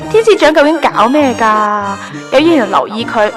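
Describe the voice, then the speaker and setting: Casting: actress as earnest young angel photographer, Hong Kong accent, high voice, lively intonation, radio drama. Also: female voice, moderate pace, music in the background, close to an everyday voice. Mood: musing, wistful. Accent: Hong Kong Cantonese. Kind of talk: Cantonese online radio drama acting.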